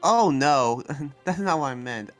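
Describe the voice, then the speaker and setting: Casting: man as sheepish male demon slayer researcher, mid-pitched, halting delivery, anime Minecraft roleplay, faint music. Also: lively intonation, close to an everyday voice. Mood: earnest, sheepish.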